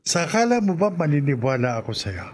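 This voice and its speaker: A man's voice: on the high side, sing-song. An actor with a Filipino accent playing a menacing, murderous man in a radio horror drama. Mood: gloating menace. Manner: slow, drawn-out threats with villainous laughter. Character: menacing murderous man, gloating then terrified. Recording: loud background noise.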